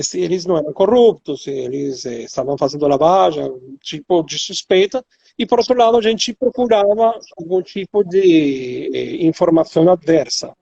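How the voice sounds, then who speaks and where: A man's voice: high voice, a little mellow, slightly rough, no room sound, lo-fi sound. A middle-aged man with a Venezuelan accent, Spanish his first language, explaining as a guest expert in an online chat with many fillers and restarts. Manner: hesitant, many fillers and restarts, slow in places.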